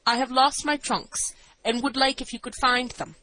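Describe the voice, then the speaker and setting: A woman's voice: fairly high pitch, big pitch swings, normal speed, sharp tone, slight background noise, voice pushed up, poor audio. A woman voicing a stately, stubborn young woman feigning madness in an audiobook. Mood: stately, insistent. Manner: haughty, insistent.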